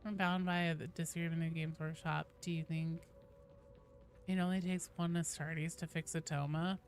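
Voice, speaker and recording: A woman's voice: sits low, flat delivery, normal speed, faint music behind. A woman hosting a game stream, chatting casually and reading out viewer comments in informal, slangy language.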